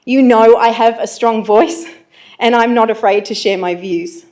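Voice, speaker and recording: A woman's voice: a little high, measured pace, darkish tone. An Australian woman, a councillor, delivering an earnest, confident prepared speech at a council meeting.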